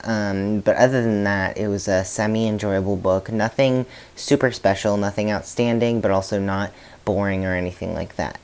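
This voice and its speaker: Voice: low voice, fairly even intonation, normal speed, darkish tone, clear, resonant tone. A vlogger giving a casual, candid book review in a conversational, slightly hesitant way.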